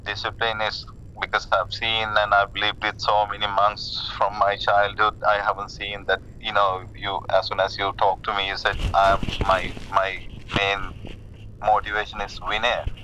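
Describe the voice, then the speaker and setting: Sri Lankan man, forties, hesitant conversational delivery, bright edgy tone, somewhat low pitch, on a dull line. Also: completely flat delivery. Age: 40s. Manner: hesitant, halting, repeats words. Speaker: caller, lay Buddhist practitioner. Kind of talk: casual conversation about personal spiritual path. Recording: muffled phone call with rumbling noise.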